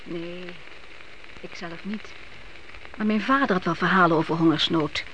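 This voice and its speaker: A woman's voice: lowish voice, moderate pace, fairly bright tone, lightly acted. An actress playing a devout middle-aged mother of grown sons from biblical times in a radio play, earnest and motherly. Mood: earnest, reflective. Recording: noisy old radio play recording.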